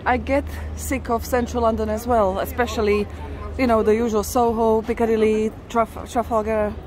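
A woman's voice: fairly high pitch, little pitch movement, normal speed, crowd noise. A woman vlogger chatting casually and emphatically as she narrates a walk outdoors. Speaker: walking tour vlogger. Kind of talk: casual live narration while walking. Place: busy city street outdoors. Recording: outdoor street noise with traffic. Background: urban ambience, passing vehicles.

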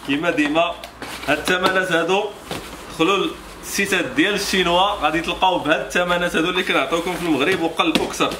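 Fast-talking Moroccan salesman, noisy recording. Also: sits high. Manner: repetitive, salesman-like patter. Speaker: shop seller presenting products. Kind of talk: informal product presentation. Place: small motorbike accessory shop, indoors. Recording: clearly noisy shop ambience.

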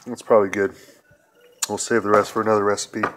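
A middle-aged man with a fairly low voice talks his way through a home cooking session, folksy and rambling. Behind him, pots and pans clatter loudly on the kitchen counter, caught on a handheld phone. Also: flat delivery, fast, slight background noise. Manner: rambling, folksy, many fillers. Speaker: cooking video host. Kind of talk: casual cooking demonstration. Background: clattering pots, pans and cans.

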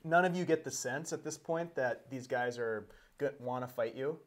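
A man's voice: medium pitch, normal speed. A man narrating as game master, talking loosely with many fillers and the odd curse. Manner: loose, hesitant with fillers, swears casually. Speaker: dungeon master. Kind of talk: narrating and voicing a tabletop game.

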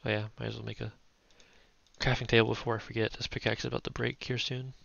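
A young man giving relaxed, chatty gameplay commentary, thinking aloud as he plays. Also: mid-range voice, fast talker, vocal fry, dry recording, lo-fi sound. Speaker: gaming YouTuber.

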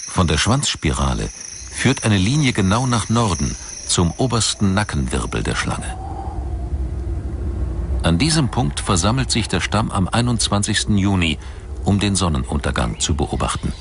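Very deep-voiced male documentary narrator. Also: background noise.

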